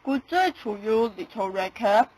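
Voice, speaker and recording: A woman's voice: fairly high pitch, lively intonation, unhurried, a little mellow, rough recording. A woman voicing a sly, hungry male wolf with a coaxing, scheming manner in a storybook radio play.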